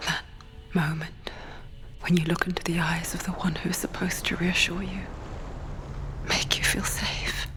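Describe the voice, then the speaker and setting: A woman as a low, whispering ominous narrator. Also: little pitch movement, close to an everyday voice. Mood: ominous, intimate. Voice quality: whispering, breathy. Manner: intimate, slow-burning, ominous. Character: hushed, ominous female narrator voice inside a warrior's head. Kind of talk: whispered dramatic game narration.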